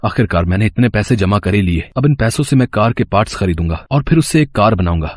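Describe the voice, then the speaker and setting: A man's voice: on the low side, expressive, fast, a little mellow, no room sound. A man voicing an earnest, hardworking poor mechanic husband in a moral-story cartoon, with an emotive delivery. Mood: determined, excited.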